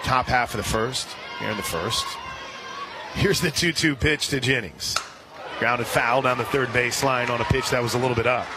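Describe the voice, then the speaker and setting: An American man doing live radio play-by-play commentary of a softball game. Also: medium pitch, normal speed, noisy background. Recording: outdoor stadium broadcast with crowd and music. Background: ballpark crowd and music.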